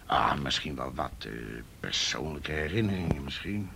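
An actor playing a weary, wry, middle-aged police officer in a Dutch radio play, dry and hesitant in manner. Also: male voice, a bass voice, expressive, normal speed, vocal fry, background noise, heavily acted. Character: weary, wry older male police officer in youth affairs.

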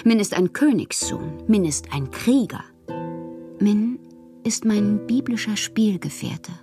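An actress playing a passionate, capricious bohemian woman in a literary radio drama, theatrical and intimate, with a breathy voice. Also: mid-range voice, animated, slow pace, music in the background. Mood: rapt, sensual. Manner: theatrical, intimate, often dropping to breathy murmurs. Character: dreamy, passionate, capricious bohemian woman writing love-struck letters.

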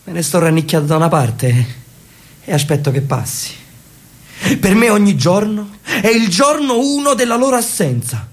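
A man's voice: high voice, big pitch swings, moderate pace. A man voicing an elderly, solitary brother in a radio drama, speaking in a brooding, reflective way. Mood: melancholic.

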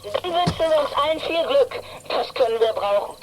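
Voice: high-pitched, animated, slight background noise, rough recording. A performer playing a child detective giving orders over a walkie-talkie in a children's radio play. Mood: commanding. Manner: commanding, brisk radio-code talk.

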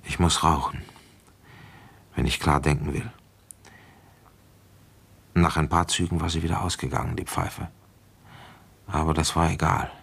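A very low male voice with heavy creak: a weary, shrewd police inspector in a radio play. He broods aloud in slow, deliberate phrases, reflective and halting as he thinks. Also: steady tone, a bit bright, exaggerated voice. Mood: brooding, reflective. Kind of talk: German radio play acting, first-person narration and dialogue.

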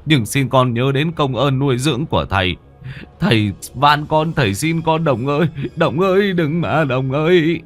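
A man's voice: on the high side, animated, normal speed, soft background music, voice pushed up, natural voice. A man voicing a terrified old village tyrant in a horror story, pleading and stammering as he begs for his life. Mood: begging. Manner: pleading, stammering, repeating himself.

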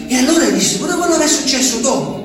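Very echoey, distant stage recording with music behind it. a simple, haunted country man telling his story in a radio drama, halting and repeating himself, with emphatic theatrical swells. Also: very high voice, lively intonation. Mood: haunted wonder. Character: simple, haunted Abruzzese peasant man recalling his abandoned wife.

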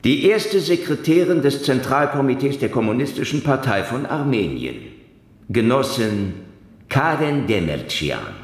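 An actor in a German radio drama playing a sardonic, taunting former Russian security man with a theatrical, mocking manner and teasing pauses. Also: medium pitch, echoey room. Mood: sly, teasing. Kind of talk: German audio drama acting.

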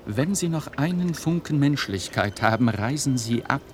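An actor plays a grave, principled man in a radio play. His mid-pitched voice is formal and deliberate, over faint ambient noise. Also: animated, natural voice. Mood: accusing. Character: grave, accusing man of bitter moral conviction. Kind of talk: German radio play acting.

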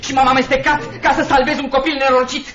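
An actor playing an impassioned, protective young gentleman in a Romanian radio drama. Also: very high voice, level tone, crowd noise. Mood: indignant. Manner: impassioned, theatrical. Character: earnest, indignant young gentleman, protective of family. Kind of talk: Romanian radio theatre acting. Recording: dull lo-fi radio drama recording.

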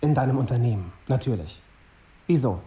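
A young journalist in a radio drama, played by a male actor. He speaks at an average male pitch, politely and with some hesitation, keeping himself guarded. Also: lively intonation, fairly quick, mellow, natural voice. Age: young adult. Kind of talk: radio drama dialogue acting.

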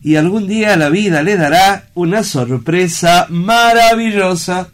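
A man's voice: high-pitched, lively intonation. A man playing a kindly, paternal sanatorium director in an audio drama, speaking formally and courteously to reassure. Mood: reassuring. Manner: formal, courteous, measured phrasing. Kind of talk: theatrical audio drama acting.

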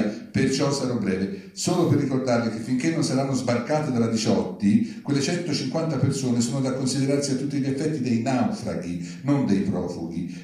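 Deadpan man reading aloud, very echoey. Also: medium pitch. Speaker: reader at a public reading. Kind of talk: live reading of satirical open letters. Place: large indoor venue, live event. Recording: distant, very echoey hall.

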